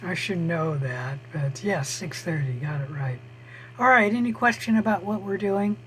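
Hoarse, high-voiced male lecturer. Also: expressive, a bit bright, slight background noise, rough recording. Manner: slow, halting, with frequent "uh" pauses. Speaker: college biology instructor. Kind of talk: online lecture over screen share. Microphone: computer mic on a video call.

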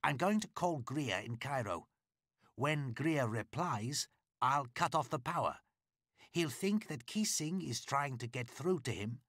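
A man's voice: mid-range voice, animated, measured pace, fairly bright tone, no room sound, studio quality, voice pushed up. A man reading a storybook, voicing a confident male driver eagerly explaining a plan in a raised voice. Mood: eager scheming.

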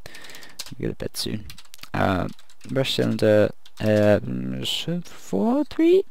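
A man casually commenting while he builds in a video game, thinking aloud and rambling, his voice croaky from the late hour. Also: mid-range voice, huge pitch swings, takes it slowly, darkish tone. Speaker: gaming video creator.

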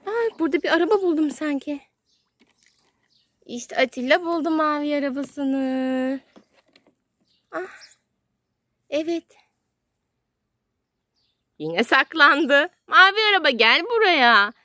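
High-voiced woman in playful child-directed narration. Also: fairly bright tone, no echo. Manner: sing-song, exclaiming, simple short phrases. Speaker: children's video host playing with a child. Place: outdoors on grass in a garden.

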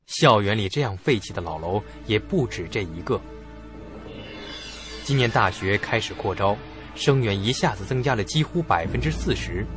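A man with a fairly low voice narrates a scripted suspense story as a radio drama. Prominent music plays beneath his narration.

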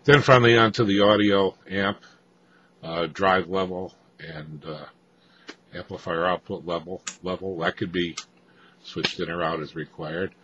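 A man giving an off-the-cuff technical walkthrough of equipment, explaining haltingly with frequent hesitations, as a hobbyist presenter. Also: deep voice, pushed and bright. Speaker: amateur radio hobbyist presenter. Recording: dull lo-fi audio, faint background noise.

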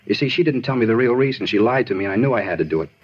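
Rambling, earnest American crime suspect, low and fast, vintage radio drama. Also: male voice, expressive, slightly rough. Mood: intense, self-justifying. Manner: rambling, repetitive, insistent, plain-spoken. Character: talkative murder suspect, earnest, aggrieved, disturbed man. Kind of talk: 1950s radio drama acting. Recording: dull vintage radio recording.

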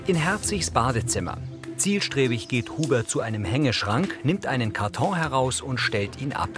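Audio description narrator, male, measured and factual, mid-range pitch, clear music underneath. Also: big pitch swings. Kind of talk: scripted present-tense narration of a TV show's action.

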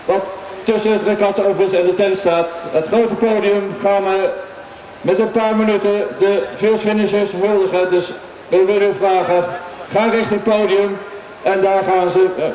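A man's voice: sits high, a little mellow. A man announcing enthusiastically to a crowd over a public-address system at an outdoor sailing event. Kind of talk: live public-address race commentary and prize-giving. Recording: very echoey, distant PA speaker, noisy outdoors.

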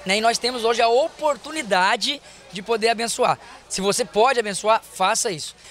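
Earnest high-voiced Brazilian man, outdoors. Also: fairly bright tone. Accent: Brazilian Portuguese. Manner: earnest, appealing, with religious phrasing. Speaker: charity organizer. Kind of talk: interview answer. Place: outdoors at a street bazaar. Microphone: handheld reporter mic.

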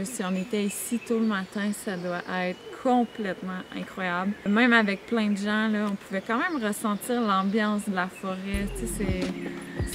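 A woman in her thirties with a Québécois accent, chatting casually to the camera outdoors, relaxed with frequent hesitations. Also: mid-range voice, background noise. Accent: Québécois French. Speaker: travel vlogger. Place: outdoors in a park and parking area. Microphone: camera a few feet away. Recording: loud outdoor ambience behind the voice.

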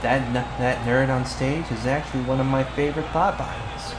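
A man playing a bossy teenage school bully with a hidden soft side, in an ASMR roleplay, brusque and given to muttering. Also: medium pitch, fairly even intonation, normal speed, lightly acted. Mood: flustered, amazed. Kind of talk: ASMR boyfriend roleplay acting. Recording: clear background ambience noise.

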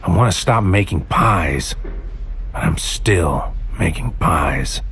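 A man playing a bleak, alienated psychic warrior, speaking a deliberate, poetic inner monologue in an audio drama. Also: low voice, expressive, vocal fry, fairly bright tone, slightly rough, exaggerated voice. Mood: disoriented, obsessive. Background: music.

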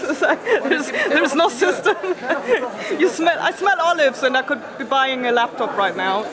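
A woman's voice: high voice, big pitch swings, a little slow, a crowd in the background. A woman in her thirties hosting a sailing vlog, talking casually to a camera held at arm's length and laughing often. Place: outdoor market and sailboat.

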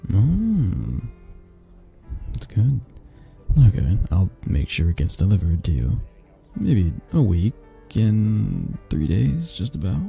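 A man playing a possessive, flirtatious mafia boss in a romantic audio roleplay, husky and gravelly, speaking with intimate condescension and long pauses. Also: fairly low pitch, huge pitch swings, mellow, heavily acted. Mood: smooth, businesslike. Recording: music behind the voice. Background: background music.

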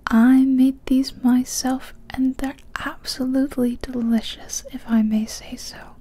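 A woman voicing a kindly, knowing old male candy shopkeeper in a soft whisper. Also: high-pitched, little pitch movement, unhurried, husky. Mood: genial. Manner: whispered, gentle, reassuring.

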